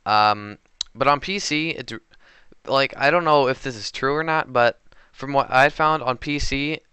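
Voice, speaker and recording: A man's voice: mid-range voice, a little slow, sharp tone, smooth, no echo. A man giving casual, hesitant commentary over recorded gameplay, often unsure of himself and correcting his own words. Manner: hesitant and unsure, many fillers and self-corrections.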